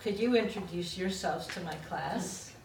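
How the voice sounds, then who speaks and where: A woman's voice: on the low side, measured pace. Woman teacher introducing a class guest. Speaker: college instructor. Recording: echoey classroom from far off, faint noise.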